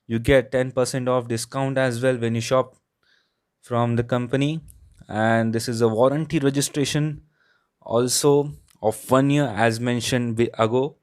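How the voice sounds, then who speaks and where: A man's voice: medium pitch, a little mellow. A man giving a casual unboxing and product review, explaining plainly and repeating his points. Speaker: tech reviewer, host.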